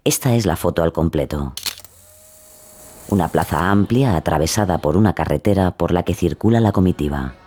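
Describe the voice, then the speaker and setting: A man reading suspenseful documentary narration, deliberate and dramatic. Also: deep voice, lively intonation, warm tone, a little hiss or hum. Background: music.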